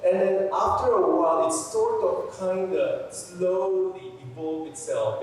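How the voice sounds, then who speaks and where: Halting man from the Philippines. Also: medium pitch, darkish tone, recorded from a distance, slight background noise. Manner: halting, with restarts and "uh". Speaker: art collector, guest speaker. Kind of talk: personal talk to students. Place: museum gallery hall. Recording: echoey hall, speaker off-mic.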